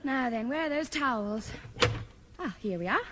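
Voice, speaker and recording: A woman's voice: a little high, animated, normal speed, close to an everyday voice. A woman playing an exasperated young older sister in a 1960s radio sitcom. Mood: helpful. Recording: noisy old radio recording.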